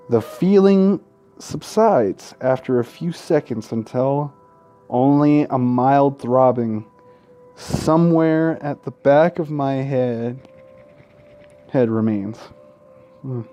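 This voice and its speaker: A man's voice: medium pitch, slow pace, long pauses, dark tone. A man reading a visual novel aloud as a gaming host, tripping over words, correcting himself and dropping casual asides and swearing. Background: faint game music.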